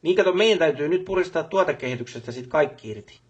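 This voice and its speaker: Brisk factory boss, husky. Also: male voice, a little high, lively intonation, highs cut off. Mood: businesslike. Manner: businesslike, colloquial, repeats short affirmations. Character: busy, brisk male factory boss on the job. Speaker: voice actor for a game character. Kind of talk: game voice lines, phone calls and workplace speeches.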